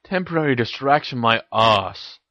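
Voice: medium pitch, very animated, normal speed, no echo, muffled recording, pitched above the performer's own voice. A reader voicing a defensive teenage boy wizard in an audio story, grumbling and petulant.

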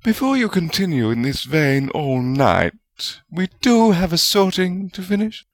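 A man acts out a tart witch spirit from a storybook, sardonic and quick to scold. The pitch is raised and lively, the delivery dry and chiding, with no room echo.

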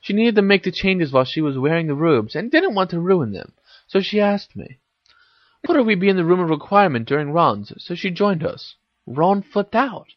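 A man voicing a clever, knowing teenage witch in a story reading, explaining carefully with gentle chiding. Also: sits high, big pitch swings, normal speed, no echo, muffled recording, voice pushed up. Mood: thoughtful.

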